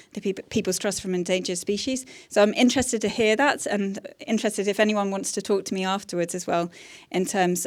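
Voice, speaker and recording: A woman answering audience questions in a seminar, hesitant with many fillers. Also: medium pitch. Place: seminar room.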